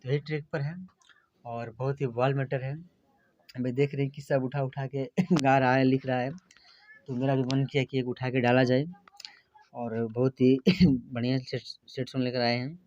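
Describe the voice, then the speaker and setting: A man's voice: medium pitch, little pitch movement, moderate pace, long pauses, a little mellow, a little hiss or hum. Man, dull lo-fi sound. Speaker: channel host and songwriter. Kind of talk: casual scripted-sounding channel presentation.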